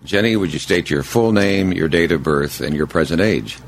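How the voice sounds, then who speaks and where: Deep-voiced man asking brief questions. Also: expressive, moderate pace, a little hiss or hum, low-quality recording. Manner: brief, direct prompting questions. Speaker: oral history interviewer. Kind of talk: interview questions. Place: an apartment in a retirement home.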